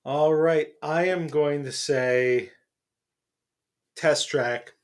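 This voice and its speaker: A man's voice: a little high, fairly even intonation, very slow. Man, middle-aged, podcast co-host, casual chat, rambling, lots of ums and restarts. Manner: rambling, many fillers and restarts.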